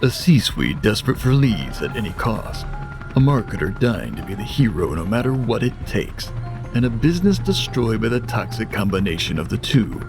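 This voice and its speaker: An ominous male trailer voice. It is low and widely animated, delivering each phrase portentously over clear music. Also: normal speed. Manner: portentous, emphatic. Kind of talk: movie-trailer narration.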